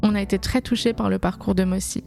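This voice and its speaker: A woman's voice: mid-range voice, steady tone, fast, gravelly, a little mellow, faint music behind. A woman hosting an interview show, reading a scripted introduction and then chatting casually with short, friendly interjections. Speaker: host.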